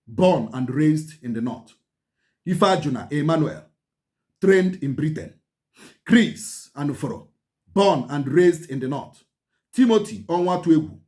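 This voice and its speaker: A man's voice: fairly high pitch, mellow. A man with a Nigerian accent gives a history commentary. He repeats key points, urges listeners to pay attention, and mixes Igbo phrases into his English. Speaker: channel host.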